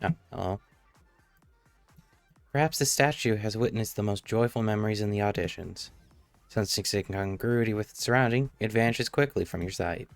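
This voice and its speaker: A man's voice: on the low side, moderate pace, gravelly, no room sound, faint music behind. Offhand male game streamer, dry close sound. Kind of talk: casual live gameplay commentary.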